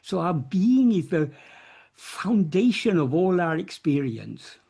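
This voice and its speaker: Mellow-voiced man teaching philosophy. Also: sits high, sing-song, gravelly, breathy edge. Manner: earnest, repeats and restarts phrases, emphatic slow stresses. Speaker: spiritual teacher answering questions. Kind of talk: unscripted philosophical discourse in an online meeting. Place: online video meeting.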